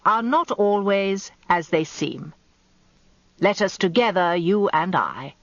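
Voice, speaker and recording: Woman plays old defence barrister, male, theatrical and wily. Slow, swelling oratory, wide melodic range, slightly dull radio sound. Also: on the low side, dry recording. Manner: grandiloquent, slow courtroom oratory.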